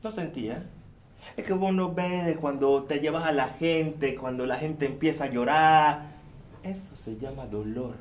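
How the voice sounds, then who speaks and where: A man voicing Epifanio, a kind old laborer speaking patiently and gently in a stage folktale. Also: a little high, measured pace, reverberant, slight background noise, natural voice. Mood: teasing gentle.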